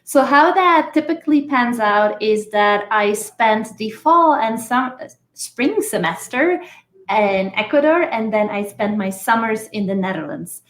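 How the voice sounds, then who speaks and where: A woman from Belgium, a professor, giving measured, explanatory answers in a podcast interview. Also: fairly high pitch, expressive, fairly bright tone. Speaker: guest, engineering professor. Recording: echoey room.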